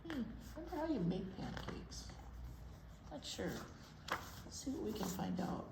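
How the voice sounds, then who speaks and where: A woman's voice: mid-range voice, very animated, unhurried. A woman reading a picture book aloud to young children, explaining simply and asking them questions. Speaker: children's library storytime reader. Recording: roomy echo with clear background noise.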